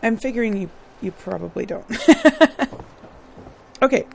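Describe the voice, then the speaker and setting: A woman with a hoarse, slightly worn voice talks casually over her gameplay, rambling from one thought to the next. She laughs easily and keeps clearing her throat. A faint hum of room noise sits behind her. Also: a little high, lively intonation, a little slow, a little mellow, poor audio. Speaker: gaming channel host.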